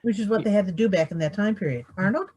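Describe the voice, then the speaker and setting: A woman's voice: medium pitch, fast, a little mellow, husky. A woman moderating an online panel discussion, introducing and prompting the panelists.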